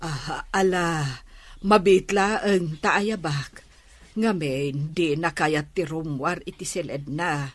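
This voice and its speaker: An actress playing a weary, gently chiding mother in a Filipino radio drama, with an Ilocano accent. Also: sits low, takes it slowly, dry recording. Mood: coaxing.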